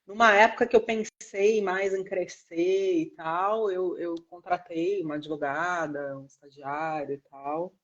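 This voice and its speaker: A woman's voice: fairly low pitch, steady tone, unhurried, hardly any pauses, highs cut off. A middle-aged woman with a mixed Rio and São Paulo accent, chatting casually as an interview guest, rambling a little and often adding tag questions. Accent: Brazilian, mixed Rio and São Paulo. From Brazil, born in Rio and raised partly in São Paulo state. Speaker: interview guest, lawyer with own practice. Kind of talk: casual live conversation. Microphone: internet video call.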